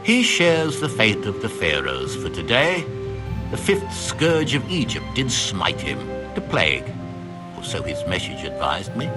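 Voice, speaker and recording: Wry master engraver, wildly swooping intonation. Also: male voice, lowish voice, wildly swooping pitch, unhurried, over loud music. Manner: verbose, dryly sarcastic, ornate phrasing. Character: wry, learned older master engraver, exasperated with apprentice. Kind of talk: video game dialogue acting.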